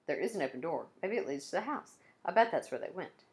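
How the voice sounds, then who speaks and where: A woman voicing a calm, sharp-eyed young girl detective in a read-aloud storybook, pitching her voice up for the part. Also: fast, lightly acted. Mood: eager.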